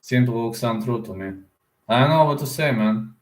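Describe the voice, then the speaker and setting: Man, casual live stream chatter, rambling, middle pitch, roomy echo. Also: normal speed. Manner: rambling, answers viewer comments aloud; repeats words, often ends with "man". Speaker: combat sports YouTuber.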